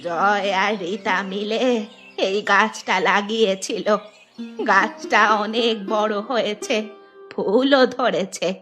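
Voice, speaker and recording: A woman's voice: medium pitch, slow pace, close to an everyday voice. A woman voicing a kindly, moralizing old village grandmother in a cartoon. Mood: wistful, caring. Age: older. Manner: moralizing, affectionate. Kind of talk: cartoon dialogue acting. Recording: music behind the voice.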